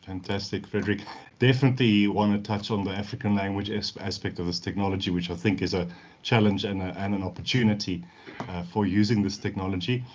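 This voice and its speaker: Male panel moderator, fairly low voice, welcoming, dull video-call audio. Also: steady tone, quick pace, a little mellow. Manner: welcoming, introduces each panelist by name.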